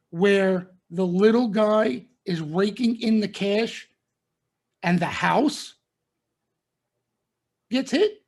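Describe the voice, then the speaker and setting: A man's voice: high-pitched, darkish tone. A middle-aged man giving a market commentary broadcast, warning listeners emphatically and repeating his points. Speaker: stock trading commentator. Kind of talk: members-only market broadcast.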